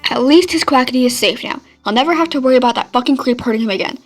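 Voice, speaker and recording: A woman's voice: high voice, very fast, faint music behind. A woman reading fan fiction aloud as a podfic narrator. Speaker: podfic reader.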